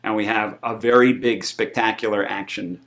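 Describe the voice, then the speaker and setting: A man's voice: on the low side, steady tone, moderate pace, a bit bright. A middle-aged American man from Massachusetts chatting enthusiastically and effusively as a film producer being interviewed. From Massachusetts, USA. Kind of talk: casual promotional interview chat.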